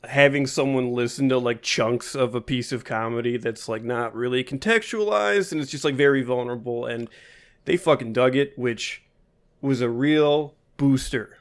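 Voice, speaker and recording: A man co-hosting a podcast talks in a reflective, candid way during casual chat. He stops now and then to search for the right words. His voice sits at a middle pitch for a man. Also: moderate pace. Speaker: podcast co-host.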